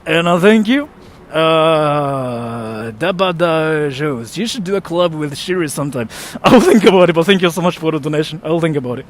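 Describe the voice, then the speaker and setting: A man's voice: on the high side, expressive, moderate pace. A young American man on a casual live stream, joking about himself and thanking viewers for donations. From United States. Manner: joking, self-mocking, reads chat aloud.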